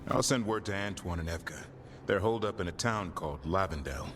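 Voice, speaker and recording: Game warrior, very low voice, steady, serious, faint music. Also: male voice, brisk. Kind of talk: dramatic video game acting.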